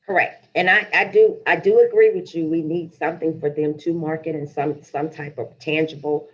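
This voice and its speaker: A woman's voice: sits low, steady tone, normal speed. A woman speaking as a director in a formal virtual meeting, direct in manner. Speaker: board director. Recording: muffled lo-fi call, faint noise.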